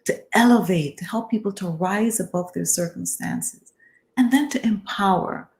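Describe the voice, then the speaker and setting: Earnest, low-pitched Caribbean-raised woman. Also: a little mellow. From Caribbean, born in Guyana, raised in Barbados. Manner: earnest and measured, builds lists of phrases. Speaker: guest author. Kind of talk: interview, reflective storytelling.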